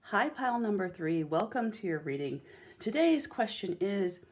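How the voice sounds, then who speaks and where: A woman hosting a casual, chatty tarot reading in a gentle, encouraging and informal manner, with a slightly husky voice. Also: mid-range voice, very animated, normal speed. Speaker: tarot reader and channel host.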